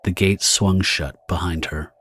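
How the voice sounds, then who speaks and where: A man's voice: low-pitched, fairly even intonation, unhurried. A man narrating a pulp horror audio drama from a script. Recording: guitar music behind the narration. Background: plucked guitar music.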